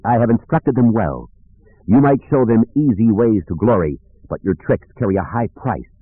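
A man voicing a confident, defiant warrior-monk master with calm certainty in an audiobook. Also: lowish voice, moderate pace, slightly rough, no room sound, phone-quality sound, pitched above the performer's own voice. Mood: firm certainty.

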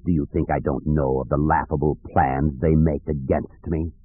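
A man voicing the mocking, blustering spirit of an ancient dark lord in an audiobook. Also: very deep voice, steady tone, moderate pace, slightly rough, dry recording, narrow, tinny audio, soft background music, voice pushed down. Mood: scornful. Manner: blustering, threatening.

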